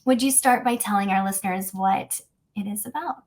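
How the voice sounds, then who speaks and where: A woman hosts a podcast, asking her guest a series of prepared questions. She speaks in a measured, orderly way. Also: medium pitch, a little mellow. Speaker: podcast host. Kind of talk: scripted interview questions.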